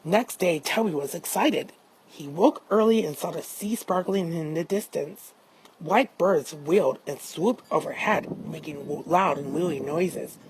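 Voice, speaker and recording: A woman's voice: deep voice, unhurried, a little mellow, slight background noise, low-quality recording. A woman narrating a children's storybook as an amateur reader, now and then stumbling over her words.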